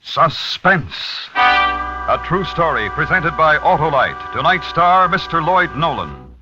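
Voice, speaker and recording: A man's voice: a little high, big pitch swings, slow pace. A man announcing a radio show in a dramatic 1950s broadcast style. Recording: muffled vintage radio broadcast. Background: music.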